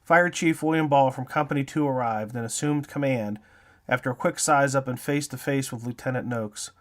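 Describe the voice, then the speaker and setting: A man's voice: mid-range voice, brisk. A man hosting an interview show, reading scripted narration to introduce a feature.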